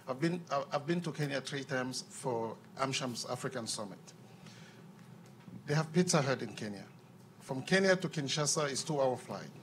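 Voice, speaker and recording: A man's voice: medium pitch, a little hiss or hum, low-quality recording. Earnest man at a panel. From Cameroon. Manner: earnest, repeats words when restarting. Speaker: audience member at a panel Q&A. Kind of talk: impassioned floor comment. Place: conference room. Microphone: handheld microphone.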